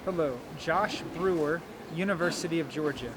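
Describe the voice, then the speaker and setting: Brief: male college coach, plain and encouraging, a bit higher pitched, taped outdoors near traffic. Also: normal speed. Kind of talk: interview answers. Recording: noisy outdoor recording.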